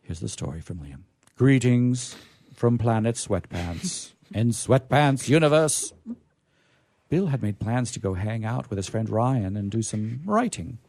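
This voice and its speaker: A man hosting a radio show, reading stories aloud in a theatrical, deliberate, emphatic way with casual asides. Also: mid-range voice, lively intonation, darkish tone, dry recording.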